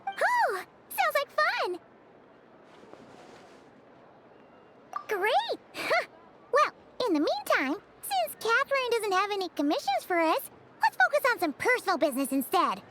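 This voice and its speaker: A woman voicing a small, childlike, excitable and chatty female fairy companion in a video game, bubbly and expressive. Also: very high-pitched, moderate pace, very noisy. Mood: excited, cheerful. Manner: bubbly, expressive, speaks of self in third person.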